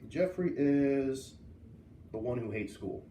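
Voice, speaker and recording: Animated man reading aloud, roomy sound. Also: medium pitch, slow. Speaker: teacher reading aloud to students. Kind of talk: children's read-aloud with commentary. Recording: roomy, distant mic, faint noise.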